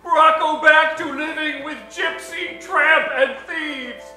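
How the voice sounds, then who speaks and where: A man playing a big, dim, heartbroken brute who booms out broken caveman sentences about himself in a comic radio play. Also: steady tone, slow, distant mic, full character voice. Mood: anguished rage. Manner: broken caveman grammar, shouted laments. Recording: echoey hall with loud music behind.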